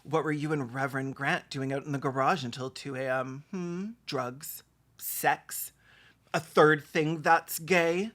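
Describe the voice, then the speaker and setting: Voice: mid-range voice, fairly even intonation, a little slow, a bit bright. A person giving bawdy, sarcastic comedic commentary as the host of a reaction video, in a campy manner. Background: background music.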